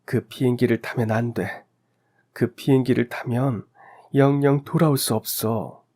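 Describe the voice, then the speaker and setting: A man voicing a grieving dead parent who gives a slow, solemn warning in a dream. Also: mid-range voice, steady tone, dark tone, lightly acted. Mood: grieving, urgent.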